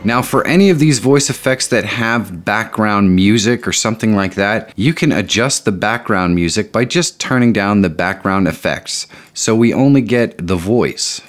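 A man in his fifties with a slightly low voice. He explains things casually, step by step, as a tech tutorial host in a home studio. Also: expressive, normal speed, few pauses, soft background music.

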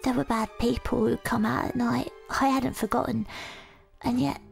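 Woman playing haunted young Victorian woman, hushed near-whisper narration, very gravelly voice. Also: fairly high pitch, fairly even intonation, moderate pace, faint music behind. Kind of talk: first-person audio drama narration.

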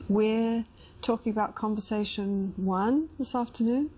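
A woman's voice: a little high, measured pace, mellow, slightly rough, poor audio. A middle-aged woman hosting a conversational interview. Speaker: host interviewer. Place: television-style studio set, indoors.

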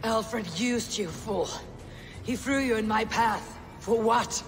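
A fierce Viking war leader. Her voice is husky and grave, giving terse commands in dramatic game cutscene style, with music playing behind her. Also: on the high side, takes it slowly. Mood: cold, threatening.

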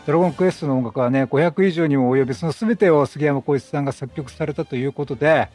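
A man's voice: a little high, quick pace, darkish tone. A middle-aged man giving casual, chatty game commentary, quipping at the characters as he plays. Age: 30s or 40s. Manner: chatty, reacts and quips at the characters. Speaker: gameplay commentator. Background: game music.

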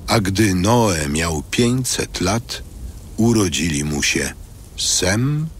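A male narrator with a deep voice reads scripture in a solemn, measured way. His delivery is slow, and clear ambient noise runs behind him. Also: big pitch swings. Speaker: narrator of an audio Bible.

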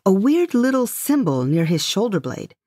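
A woman's voice: mid-range voice, very animated, normal speed, mellow, no echo, clean studio sound. A woman playing a wry, curious retired space heroine who narrates a comic sci-fi story with dramatic flair. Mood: wry, intrigued.

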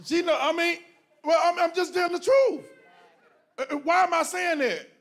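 A middle-aged man, a pastor talking to his congregation from a church stage into a handheld mic. He jokes and preaches in a lively way, often breaking into loud, emphatic exclamations. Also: very high-pitched, moderate pace, creaky. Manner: animated, joking, bursts into loud emphatic exclamations. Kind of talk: preachy conversational talk to a congregation.

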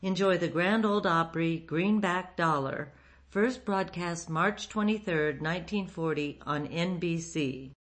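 A woman's voice: fairly low pitch, measured pace. A woman hosting a radio program, reading a scripted introduction. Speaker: radio show host.

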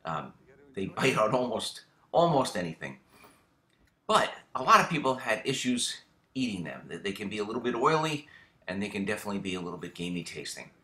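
A middle-aged man hosting a fishing channel, explaining a how-to in a relaxed, casual way with lots of ums and repeated words. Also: lowish voice, a little fast, echoey room.

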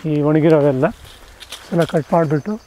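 An older man showing visitors around his dry farm orchard outdoors, talking slowly with many pauses and restarts. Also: on the high side, warm tone, a little hiss or hum. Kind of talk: casual farm walk-through. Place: outdoors on a dry rural farm among trees.

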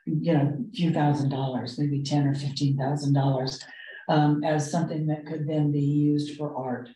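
A woman leading a committee meeting, explaining items in a halting, measured way. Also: low voice, level tone, dark tone. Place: indoor meeting room. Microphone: distant room microphone. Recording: roomy, distant meeting-room microphone.

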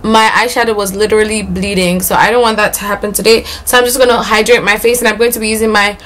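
A woman's voice: medium pitch, fairly even intonation, fast, a bit bright. Young female beauty vlogger, loose chatty delivery, recorded on a front camera in a small room. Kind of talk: casual makeup tutorial chat. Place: small indoor room against a plain wall.

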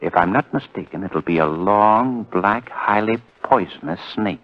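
A muffled 1940s radio broadcast carries a calm, commanding male detective. His voice is low and swings widely in pitch. He speaks with urgent authority, in old radio-thriller style. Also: unhurried. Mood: hushed urgency. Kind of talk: 1940s radio drama acting.

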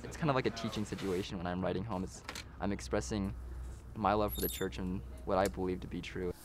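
A man's voice: deep voice, steady tone, fast talker, a crowd in the background. A young man from Utah, a missionary, speaking sincerely with small hesitations in an interview. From Utah, USA. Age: young adult.

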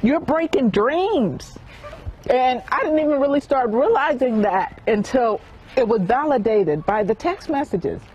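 A woman's voice: high-pitched, expressive, unhurried, a little mellow. A middle-aged woman giving her view as a resident in an outdoor news interview. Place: outdoors near parked cars. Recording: dull sound, faint outdoor noise.

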